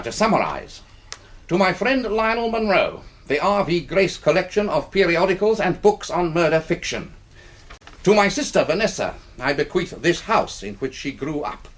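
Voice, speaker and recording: A man's voice: high-pitched, fairly bright tone, full character voice. An older man with a British accent, playing an irascible, bereaved, pompous retired army colonel in a radio drama. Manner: pompous, blustering, sardonic.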